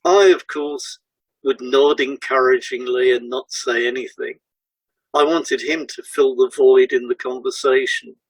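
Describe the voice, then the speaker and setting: A man's voice: medium pitch, husky, no echo, rough recording. A man co-hosting a podcast, recounting a client case in a scripted dialogue. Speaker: podcast co-host, hypnotherapist.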